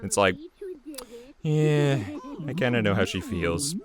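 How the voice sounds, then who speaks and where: A man giving rambling, easygoing commentary on his own animated episode, speaking casually as its creator. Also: mid-range voice, slow pace, crowd noise.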